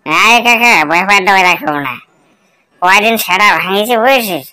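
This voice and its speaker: A woman's voice: medium pitch, normal speed, sharp tone, natural voice. A woman voicing a miserable, comically self-pitying married village man who complains in coarse slang, in a cartoon. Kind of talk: cartoon comedy dialogue.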